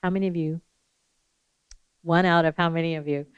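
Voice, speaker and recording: Woman moderator, english not her first language, rambling chatty questions, low voice, muffled lo-fi recording. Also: fairly even intonation, brisk, clear, resonant tone. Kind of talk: hosting a panel Q&A. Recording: slightly dull, lo-fi sound.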